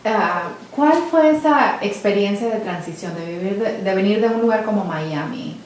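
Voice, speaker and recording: A woman conducting an oral history interview, asking polite, formal questions and giving many soft murmurs of agreement. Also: mid-range voice, lively intonation, moderate pace. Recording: very echoey room, faint noise.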